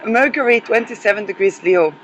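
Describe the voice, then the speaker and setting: Hoarse woman host, solo astrology talk, hesitant and rambling, light background noise. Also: fairly high pitch, sharp tone, poor audio. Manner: hesitant, many fillers and restarts. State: losing her voice.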